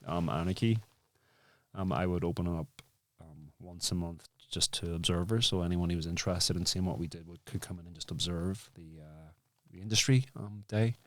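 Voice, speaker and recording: Low-voiced man hosting a casual interview. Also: fairly even intonation, normal speed, no room sound. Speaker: interview host, filmmaker.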